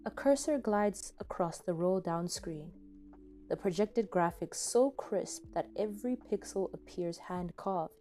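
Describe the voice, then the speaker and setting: A woman's voice: on the low side, a little slow. A woman reading scripted second-person story narration, with music behind her. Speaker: podfic narrator. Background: soft background music.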